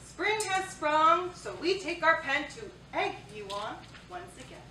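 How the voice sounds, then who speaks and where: Woman acting high, chirpy flirt, echoing stage. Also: sing-song, a little slow, bright, forceful tone, heavily acted. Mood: perky, flirty. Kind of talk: comic staged reading. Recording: roomy, distant theatre recording, noise.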